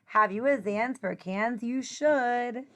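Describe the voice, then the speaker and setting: A woman teacher reading a rhyming children's picture book aloud in an expressive, sing-song way. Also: a little high, slow pace.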